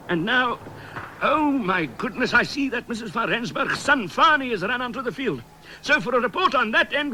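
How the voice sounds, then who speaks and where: A man's voice: sits high, sharp tone, a little hiss or hum, exaggerated voice, poor audio. A man playing a bumbling, digressive small-town South African cricket commentator in a 1970s radio comedy. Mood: excited.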